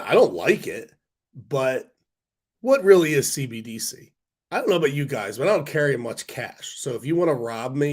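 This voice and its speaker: Hoarse man chatting on a stock stream. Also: medium pitch. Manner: rambling, many fillers and restarts. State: hoarse from a cold. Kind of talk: casual livestream chat reading viewer comments.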